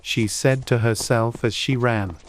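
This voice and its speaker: A man narrating a storybook audiobook in a gentle, measured, good-humoured way. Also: medium pitch, steady tone.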